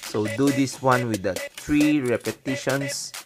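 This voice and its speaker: A man's voice: medium pitch, measured pace. A man in his twenties with a Filipino accent giving step-by-step workout instructions in short phrases as a fitness coach. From Philippines. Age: 20s. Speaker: fitness coach and video host. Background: music.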